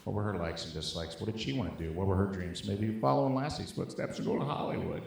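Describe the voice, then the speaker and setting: A man's voice: on the low side, fast, gravelly. Profane male stand-up comic in a roomy space. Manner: profane, animated storytelling with acted-out bits. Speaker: stand-up comedian, special guest. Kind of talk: stand-up comedy set. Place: live show on a stage before an audience. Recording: roomy live stage sound.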